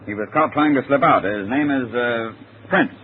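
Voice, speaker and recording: An actor playing a deferential theater manager with a measured, slightly hesitant manner in an old-time radio drama. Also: male voice, mid-range voice, natural voice. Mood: polite, measured. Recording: tinny, noisy old radio recording.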